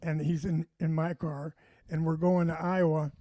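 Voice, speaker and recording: A man's voice: on the high side, normal speed, no echo. A man telling comedy stories in casual chat, acting out what people said. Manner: animated, acts out quotes. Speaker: comedian guest. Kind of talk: casual storytelling.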